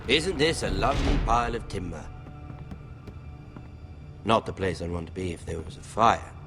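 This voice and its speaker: An actor playing a sadistic young bastard lord in a fantasy game, with a mocking, theatrical, toying manner. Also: male voice, lowish voice, moderate pace, music in the background, close to an everyday voice.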